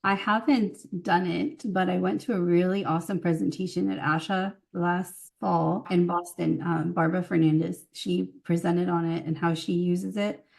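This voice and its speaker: A woman's voice: lowish voice, fairly even intonation, moderate pace, gravelly, hardly any pauses, a little mellow. A woman with a Californian accent, telling anecdotes in a casual, conversational interview as a guest speech-language pathologist.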